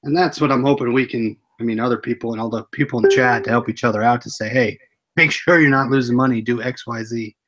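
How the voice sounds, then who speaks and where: A young man co-hosting a casual livestream chat, relaxed and matter-of-fact. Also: medium pitch, quick pace. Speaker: co-host.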